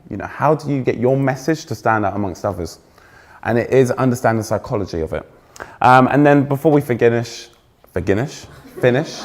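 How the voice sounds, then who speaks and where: Young English male keynote speaker, fairly posh accent, loud, energetic, teases the crowd. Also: mid-range voice. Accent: trained-out Essex, now fairly posh English. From England. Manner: energetic, joking with the audience, self-deprecating. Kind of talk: conference keynote talk. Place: stage before a live audience.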